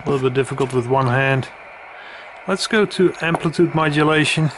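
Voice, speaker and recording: Halting man demonstrating gear over loud static. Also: on the high side. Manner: halting, with hesitant pauses. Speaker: radio equipment reviewer. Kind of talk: casual hands-on demonstration. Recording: loud radio hiss and static. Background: radio noise.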